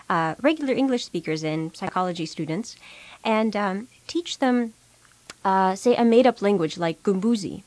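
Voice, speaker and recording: A young adult woman whose native language is Russian, answering interview questions as a researcher, explaining in a measured way with many ums and uhs. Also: medium pitch, darkish tone, dry recording. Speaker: psychology researcher.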